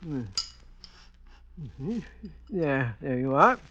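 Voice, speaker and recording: A man's voice: mid-range voice, sing-song, very slow, fairly bright tone, husky, dry recording, full character voice. A man playing a worried, suspicious janitor in an old-time radio drama, hesitant and folksy. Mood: homely. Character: worried, suspicious small-town college janitor husband. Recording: dull old radio recording.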